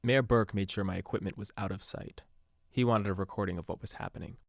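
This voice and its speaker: A man's voice: fairly low pitch, steady tone, fast, vocal fry, no echo, clean studio sound. A man playing an inquisitive local radio personality who narrates an audio drama in a casual way. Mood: wry, recounting. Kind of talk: first-person scripted narration and dialogue.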